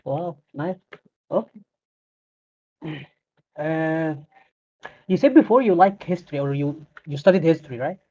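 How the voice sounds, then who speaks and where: A man interviewing a guest in casual chat, phrasing his questions haltingly and restarting often. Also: fairly high pitch, warm tone. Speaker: interviewer, server admin. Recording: dull lo-fi mic.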